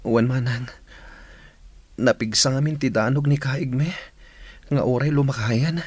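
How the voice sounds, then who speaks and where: A man's voice: medium pitch, a little slow, a little mellow, husky. A man playing a determined, respectful young recruit seeking justice, speaking earnestly in a radio drama. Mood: subdued, respectful. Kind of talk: Ilocano radio drama acting. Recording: background noise with chirping crickets.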